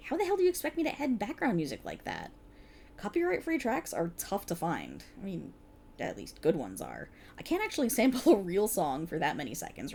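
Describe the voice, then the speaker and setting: A woman's voice: fairly high pitch, creaky, a little mellow, exaggerated voice. A woman playing a playful, exasperated voice actor bantering casually with her best friend in an ASMR roleplay. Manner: sarcastic, chatty, casual, hesitant asides. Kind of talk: ASMR roleplay, one side of a conversation.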